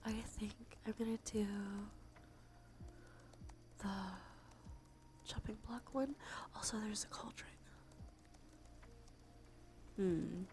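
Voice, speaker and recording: A woman livestreamer talking casually in a soft, breathy whisper with vocal fry, in an informal ASMR game stream style. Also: medium pitch, slow pace, long pauses, music in the background. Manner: sweary, informal. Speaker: livestreamer and host.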